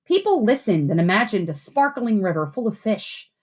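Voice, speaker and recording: A woman reading aloud as a teacher to schoolchildren, with expressive, deliberate phrasing. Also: mid-range voice. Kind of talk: read-aloud storytelling and simple instructions for children.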